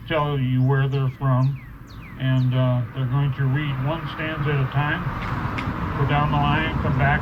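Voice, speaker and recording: Male event host, slightly high voice, folksy and halting informal welcome, muffled live recording with clear background noise. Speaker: host of a poetry reading event. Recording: dull live event recording, noisy outdoors.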